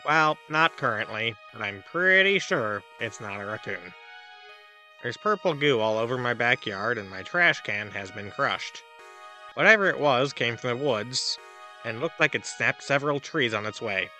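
Casting: male actor for a breezy, self-assured god hosting a comedy advice podcast, very crisp bright edge, theatrical emphasis, music underneath. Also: mid-range voice, lively intonation, normal speed. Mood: reading a letter. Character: cheerful deluded god dispensing absurd, dangerous advice. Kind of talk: comedic audio drama monologue, advice show.

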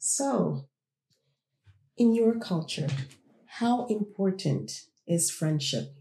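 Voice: mid-range voice, big pitch swings, very slow, vocal fry, mellow, echoey room. A podcast host born in Jamaica and raised in Toronto, talking casually and reflectively while speaking straight to listeners. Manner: reflective, conversational, addresses listeners directly. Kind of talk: casual solo podcast talk.

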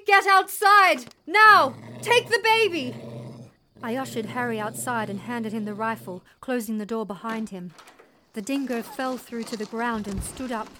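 A woman's voice: fairly high pitch, a little hiss or hum, natural voice. A woman playing a resolute young settler mother, telling her story in intense, dramatic first-person narration. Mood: tense. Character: resolute young settler mother recounting a wild dog attack.

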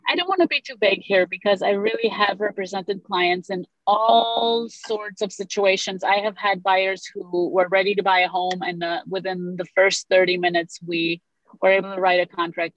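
A woman's voice: lowish voice, fairly even intonation, moderate pace, no room sound. A woman from Romania, whose first language is Romanian, speaking earnestly as a real estate broker guest in an interview. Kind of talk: conversational interview. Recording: dull lo-fi sound.

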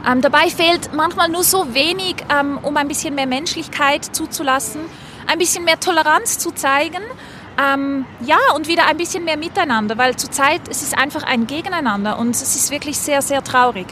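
A woman's voice: sits high, normal speed, sharp tone. A woman giving earnest, spontaneous answers in a casual interview recorded outdoors on a street. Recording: outdoor street noise, faint traffic. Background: faint urban ambience and vehicles.

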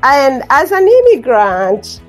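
Woman with a higher voice. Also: big pitch swings, slow pace, soft background music. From Rwanda. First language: Kinyarwanda. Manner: earnest, repeats phrases, frequent ums. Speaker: guest author. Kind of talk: interview conversation.

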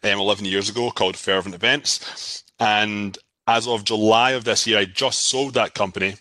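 A man's voice: on the low side, steady tone, moderate pace, sharp tone, no room sound. A man from Scotland in his thirties, with a Scottish accent, chatting casually as a podcast guest, often repeating words and laughing easily. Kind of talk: casual interview chat.

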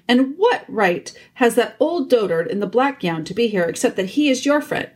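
A woman's voice: on the high side, big pitch swings, normal speed, pitched above the performer's own voice. A woman voicing an angry, sarcastic male dwarf who snarls scornfully in a storybook reading. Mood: defiant.

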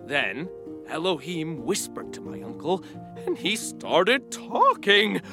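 A man's voice: high-pitched, slow, over music, heavily acted. A man playing a chatty, earnest talking donkey who tells stories in a folksy way in a children's audio drama. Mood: animated storytelling. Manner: animated, folksy storytelling.

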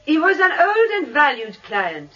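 A haughty salon madame in a British radio drama. The voice is high and lilting widely, with prim, careful phrasing. The recording is a little dull. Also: female voice, wildly swooping pitch, moderate pace, bright, forceful tone, faint music behind, exaggerated voice. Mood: prim, guarded. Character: haughty, precise Bond Street beauty salon madame.

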